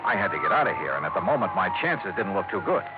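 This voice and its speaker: An American actor playing a wry, hard-boiled private investigator in 1950s radio drama, with a sardonic, clipped, tough-talking delivery. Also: male voice, low voice, sing-song, quick pace. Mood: tense narration. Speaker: lead actor in a detective radio serial. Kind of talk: 1950s radio acting, hard-boiled first-person narration. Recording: tinny 1950s radio recording, music underneath.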